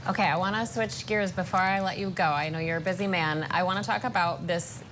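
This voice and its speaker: An American woman journalist putting questions in a news interview, measured and polite but probing. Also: fairly low pitch, a bit bright, slight background noise.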